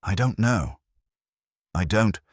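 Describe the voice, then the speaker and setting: A man voicing an anxious, guarded high-society woman in an audiobook mystery, speaking earnestly and formally with a faltering manner. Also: animated, slow pace, no echo, studio quality. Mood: evasive.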